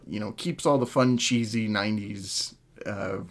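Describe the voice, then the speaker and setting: A man hosting a casual podcast chat, talking in a halting, hedging way as he thinks aloud. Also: lowish voice. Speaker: podcast host.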